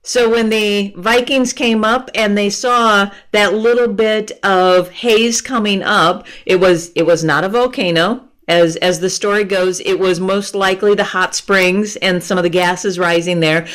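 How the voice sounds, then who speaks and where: A woman's voice: medium pitch, unhurried, hardly any pauses. A woman in her fifties, a guest author on a video call, explaining things patiently and laughing often. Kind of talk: conversational interview. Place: room with bookshelves. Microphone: earphone mic on a video call.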